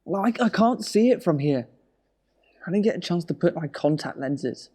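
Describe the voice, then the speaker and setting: An actor playing an anxious, weary husband in a naturalistic audio drama, speaking in a wry, hesitant, conversational way. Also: male voice, high voice, animated, moderate pace, mellow. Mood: subdued, anxious.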